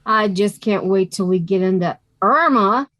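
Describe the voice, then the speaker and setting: A woman's voice: medium pitch, a little slow, a bit bright, lightly acted. A woman playing a sensible, wisecracking young woman with a dry, sardonic delivery in a radio comedy.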